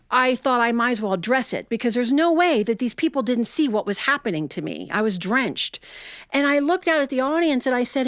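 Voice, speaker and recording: A middle-aged woman from the United States performs humorous personal stories for a live audience. Her voice is slightly high and recorded dry. She is folksy and confiding, and uses dramatic pauses. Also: dry recording. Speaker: storyteller.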